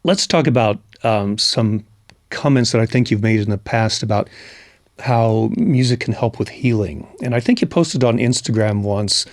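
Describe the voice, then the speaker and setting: Measured male interviewer, dry studio. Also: lowish voice, no echo. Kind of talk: interview questions.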